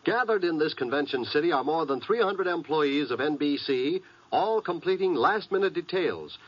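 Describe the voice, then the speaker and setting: Animated, husky male radio announcer. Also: on the high side, no echo. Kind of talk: scripted 1950s radio promo. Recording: muffled vintage 1950s radio broadcast.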